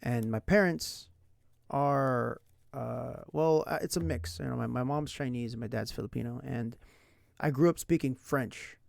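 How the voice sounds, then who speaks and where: Gravelly young man chatting casually. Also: medium pitch, a little slow, no room sound, studio quality. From San Diego, United States. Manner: rambling, full of "like" and "right?". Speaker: language learning streamer. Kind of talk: casual livestream chat. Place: indoors at night.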